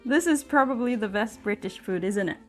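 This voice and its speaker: A woman's voice: a little high, expressive, fairly quick. A woman from Japan with a Japanese accent, hosting a casual chat in English for language learners. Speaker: host. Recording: music behind the voice. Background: guitar music.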